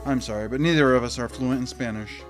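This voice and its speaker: Man playing a clueless narrating father detective, mid-pitched. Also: fast talker, lightly acted. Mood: polite, bumbling. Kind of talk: hardboiled-parody narration, comic audio drama. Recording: faint music under the voice.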